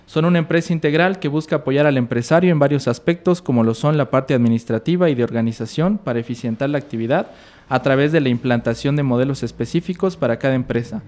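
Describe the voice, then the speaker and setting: A man's voice: medium pitch, fast talker, darkish tone. Man reading a formal guest introduction. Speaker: podcast host.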